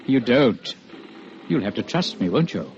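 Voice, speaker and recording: Gentlemanly accused killer, calm, controlled, persuasive, cunning, 1940s radio drama, muffled sound, clear background noise. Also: male voice, mid-range voice, a little slow. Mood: hushed, urgent. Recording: muffled, noisy old radio broadcast.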